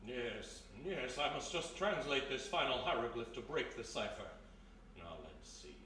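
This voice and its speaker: A man's voice: mid-range voice, lively intonation, unhurried, natural voice. A man playing an anxious, scholarly museum curator in a theatrical radio play, recorded live. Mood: muttering, studious. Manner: theatrical, muttering then exclaiming. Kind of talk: live old-time radio play acting. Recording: echoey live hall, distant mic, faint noise.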